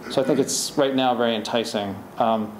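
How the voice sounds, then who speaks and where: A man's voice: mid-range voice, steady tone, brisk. A middle-aged man, an author at a podium, answering audience questions in a rambling, conversational way with many restarts. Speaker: author at a library talk. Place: library event room, indoors. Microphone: podium microphone, far camera. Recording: roomy hall echo, faint hiss.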